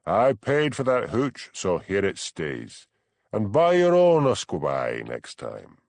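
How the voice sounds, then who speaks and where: A man voicing a stubborn, grumbling village constable with a Scottish Highland accent in an audiobook. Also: huge pitch swings, slow pace, gravelly, a little mellow, no room sound, exaggerated voice. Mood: wrathful. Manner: dry, complaining, questioning.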